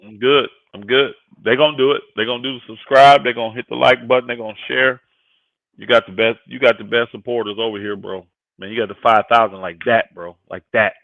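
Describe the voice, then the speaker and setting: A man's voice: medium pitch, steady tone, a little fast, vocal fry, dry recording. Phone-like voice of a fifty-year-old man. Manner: conversational, emphatic, repeats phrases for emphasis. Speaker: guest co-host and sports commentator on a live panel. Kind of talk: casual fan sports talk on a livestream. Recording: thin phone-like audio.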